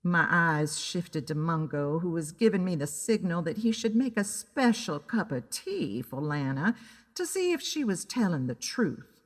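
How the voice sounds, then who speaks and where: A woman's voice: fairly low pitch, sing-song, measured pace. A woman narrating a first-person story in a dramatic audiobook style. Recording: long reverb on the voice.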